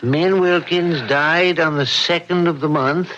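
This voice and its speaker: An actor playing a skeptical middle-aged husband in an old radio drama, measured, firm and patronizing. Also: male voice, fairly high pitch, steady tone, fairly bright tone, muffled recording. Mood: puzzled. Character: housewife's skeptical, dismissive husband, soothing but firm.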